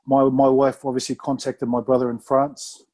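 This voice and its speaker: Mellow New man, laid-back. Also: medium pitch, moderate pace. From New Zealand. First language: Samoan. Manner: relaxed, rambling, full of "mate" and "bro". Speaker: rugby player guest. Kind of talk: casual podcast interview.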